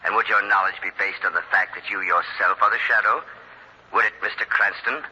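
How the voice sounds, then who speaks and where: Sharp, husky villainous professor, old radio drama. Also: male voice, on the low side, fairly even intonation, exaggerated voice. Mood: smug menace. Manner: menacing, gloating, formal and deliberate. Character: villainous professor, megalomaniac murderer posing as a vigilante. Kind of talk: 1940s radio drama acting. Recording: muffled old radio recording.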